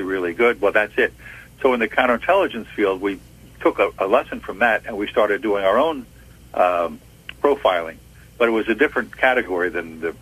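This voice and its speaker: A low, husky older man speaks in a measured, reminiscing way, pausing often with "uh" as he recounts his working life. The sound is close and dry, with no room around it. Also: fairly bright tone, no room sound, poor audio. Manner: measured, reminiscing, with frequent "uh" pauses. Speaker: retired FBI agent. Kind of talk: interview storytelling.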